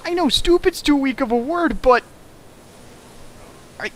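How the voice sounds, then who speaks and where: A man's voice: very high-pitched, lively intonation, a little slow. A man reading a fan fiction aloud on a stream and mocking it with sarcastic, exasperated commentary. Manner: sarcastic, exasperated, shifts into mock voices. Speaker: fanfic reader and riffer. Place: home streaming setup.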